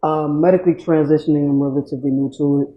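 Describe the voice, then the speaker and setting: A man from Florida, a panel guest, talking in a halting way and searching for words. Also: a little high, steady tone, highs cut off. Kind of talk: casual discussion.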